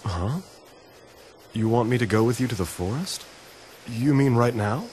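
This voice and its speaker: A man voicing a gentle, melancholy dreamer in a video game, speaking in a measured, courteous, earnest way. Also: medium pitch, lively intonation, a little hiss or hum, lightly acted. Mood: puzzled. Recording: background music under voice.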